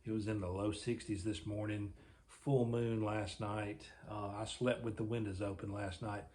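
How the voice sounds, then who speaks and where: A man, casually talking through his own jeep restoration in a workshop vlog, folksy and rambling, a little raspy this morning. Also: fairly low pitch, flat delivery, normal speed, a little mellow. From Mississippi. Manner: folksy, rambling, thinking aloud.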